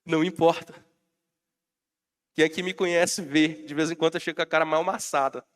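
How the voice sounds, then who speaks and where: A man's voice: fairly high pitch, steady tone, brisk, fairly bright tone. A Brazilian man preaching a sermon as pastor, rousing and calling out to his congregation, while he has a bad cold. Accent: Brazilian Portuguese.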